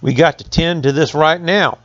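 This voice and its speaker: A man's voice: a little high, moderate pace, dry recording, muffled recording, lightly acted. A man voicing an old country mill owner in a folk tale, plain-spoken and folksy, with rural turns of phrase. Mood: earnest.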